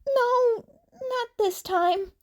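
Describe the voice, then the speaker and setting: A woman's voice: very high-pitched, extremely slow, voice pushed up, heavily acted. A woman voicing an upset, awkward teenage boy vigilante in a story, hesitant and apologetic.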